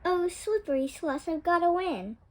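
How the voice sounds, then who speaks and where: A woman's voice: extremely high pitch, animated, moderate pace, voice pushed up. A woman voicing a plucky young girl from a children's storybook, in an exclamatory, dramatic way. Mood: determined.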